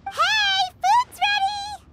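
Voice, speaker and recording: A woman's voice: very high voice, level tone, extremely slow, bright, forceful tone, exaggerated voice. A woman voicing a naive, curious and worried small young girl in a video game. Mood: bright, calling out. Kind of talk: video game character acting.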